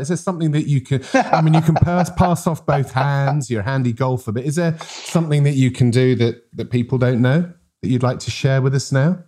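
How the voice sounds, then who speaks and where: A middle-aged man hosting, asking questions with teasing jokes. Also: medium pitch. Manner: jokey, teasing, warm mate-to-mate. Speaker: podcast host. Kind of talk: interview questions in casual chat.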